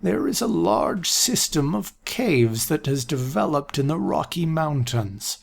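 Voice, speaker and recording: A man voicing a confused, forgetful old male seer with a raspy voice, speaking slowly and repeating himself. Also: a little high, big pitch swings, pitched above the performer's own voice, exaggerated voice. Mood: muddled. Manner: slow, vague, repeats himself. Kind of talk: audiobook character voice.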